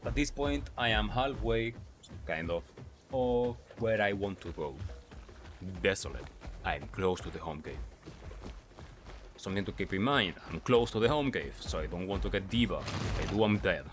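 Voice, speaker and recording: Low-voiced man giving gameplay commentary. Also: animated, moderate pace, over music. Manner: asks himself questions and answers them. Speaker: gaming video creator.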